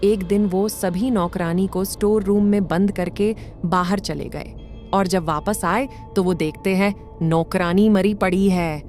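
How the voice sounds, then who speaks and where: A woman's voice: medium pitch, fairly even intonation, measured pace, darkish tone, faint music behind, close to an everyday voice. A woman voicing a neighbour in a cartoon, recounting a grim ghost story. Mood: ominous. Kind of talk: cartoon voice acting.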